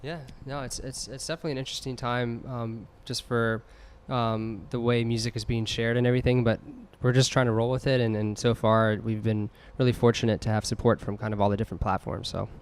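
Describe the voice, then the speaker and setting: A man's voice: mid-range voice, little pitch movement, a little fast, hardly any pauses, clean tone. A young man from a band, speaking in an upbeat, grateful way. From Silver Spring, Maryland, US. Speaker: band member.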